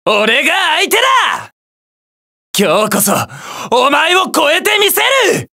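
A male actor voicing a hot-blooded, cocky young armored hero in a fighting game, in forceful, shouted short battle cries. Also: very high voice, big pitch swings, slow pace, exaggerated voice. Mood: fired up, then determined.

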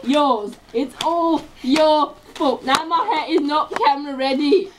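A young woman puts on a cocky doll guy who brags his voice is the manliest around, though it sits high. He bickers in playful, teasing banter, recorded on a home camera with faint hiss behind. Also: takes it slowly, far from the mic. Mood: joking. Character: boastful doll guy Georg with a hat. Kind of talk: homemade doll puppet show.

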